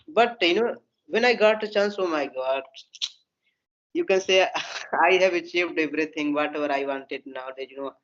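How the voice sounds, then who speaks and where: A man in his thirties, a native Hindi speaker with an Indian accent, talking in practice English and leaning constantly on "you know" on a video call. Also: a little high. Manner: repetitive, leans on "you know" and "oh my God". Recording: muffled video call audio.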